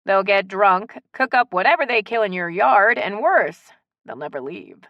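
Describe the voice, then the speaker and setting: A woman's voice: medium pitch, animated, moderate pace, dry recording, lo-fi sound, close to an everyday voice. A woman narrator voicing a frank, wry local mother with a slight Cajun accent in an audiobook story. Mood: wry, advising.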